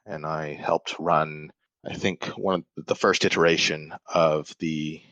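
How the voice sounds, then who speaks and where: A man's voice: a bass voice, fairly even intonation, measured pace, a bit bright, no room sound, rough recording. A man from Malaysia, a podcast guest, explaining things thoughtfully in casual talk, often restarting his sentences. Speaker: podcast guest, software developer. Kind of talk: casual interview chat.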